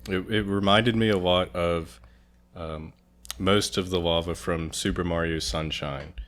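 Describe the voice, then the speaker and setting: Low-voiced young man chatting as a guest. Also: fairly bright tone. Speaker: guest reviewer. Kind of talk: casual conversational movie review.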